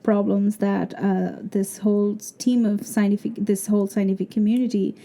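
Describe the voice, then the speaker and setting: A woman's voice: medium pitch, little pitch movement, normal speed, dark tone. A woman from Bangladesh with a Bangladeshi accent, a PhD student explaining her research thoughtfully with many fillers in an interview. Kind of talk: interview answer.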